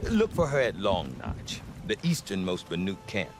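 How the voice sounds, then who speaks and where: Deep, animated, rambling frontier trader. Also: male voice, normal speed, music in the background, heavily acted. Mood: cautioning. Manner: rambling, hesitant, lively. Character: chatty, wry, fumbling middle-aged frontier trader. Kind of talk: video game voice acting.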